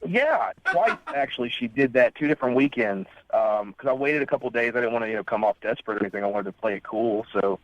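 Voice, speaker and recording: A man's voice: medium pitch, lively intonation, quick pace, few pauses, a bit bright. A man calling in to a radio show by telephone, casually recounting things in an earnest, slightly hesitant way. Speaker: phone-in caller. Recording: dull, lo-fi phone line.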